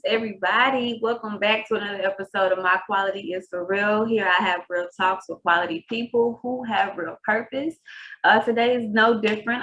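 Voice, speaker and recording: A woman's voice: mid-range voice, sharp tone, slight background noise, rough recording. A woman from Texas, hosting a podcast interview in a casual, rambling way. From Texas, USA. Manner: casual, rambling, laughs easily. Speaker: podcast host.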